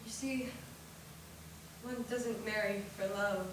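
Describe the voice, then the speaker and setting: An actress playing a calm, dutiful elder sister who speaks softly and consolingly on stage. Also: female voice, high voice, normal speed. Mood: earnest. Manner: soft, hushed, consoling. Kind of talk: stage acting. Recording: echoey stage from far off, faint noise.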